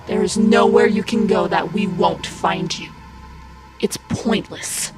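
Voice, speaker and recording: A woman acts an eerie brainwashed minion in an audio drama. She speaks very slowly and threateningly at a middling female pitch, beneath very loud music. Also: steady tone, lightly acted, poor audio. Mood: eerie menace. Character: menacing brainwashed girl minion of a ghost villain.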